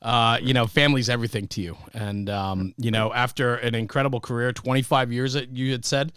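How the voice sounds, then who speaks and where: A man's voice: mid-range voice, fairly even intonation, a little fast, a bit bright, clear, resonant tone, no room sound, studio quality. Enthusiastic male podcast host. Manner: enthusiastic, affirming interviewer. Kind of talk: podcast interview.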